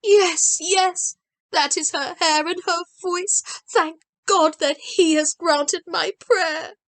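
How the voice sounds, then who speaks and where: A woman's voice: extremely high pitch, steady tone, slow, a bit bright, voice pushed up, full character voice. A woman reading aloud, voicing a frail, blind old grandmother who speaks tenderly and tearfully.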